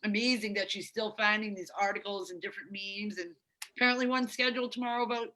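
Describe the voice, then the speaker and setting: A woman's voice: mid-range voice, steady tone, quick pace, sharp tone, rough recording. Chatty woman co-host reading comments. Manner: chatty, reads out viewer comments. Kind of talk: casual live-stream chat.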